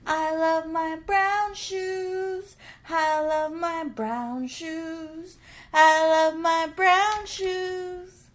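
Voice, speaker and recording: Woman singing as a high, cheerful storybook cat. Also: steady tone, extremely slow, heavily acted. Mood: happy singing. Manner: singing, repetitive and unbothered. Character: cheerful, easygoing male cartoon cat singing along. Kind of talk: simple children's storybook sing-song.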